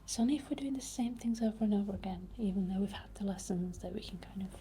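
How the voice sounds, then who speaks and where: A woman with a Northern English accent speaking in a breathy whisper, gentle and unhurried, in the reassuring style of spiritual ASMR. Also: mid-range voice, slight background noise. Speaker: ASMR creator and witch.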